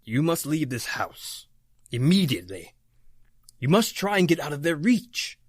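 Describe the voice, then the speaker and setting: A man playing a grave, authoritative occult doctor in an old-time radio horror drama, speaking emphatically and deliberately. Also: a little high, very animated, slightly rough, dry recording, clean studio sound, exaggerated voice. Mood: grave, urgent. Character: grave, authoritative male doctor battling the supernatural.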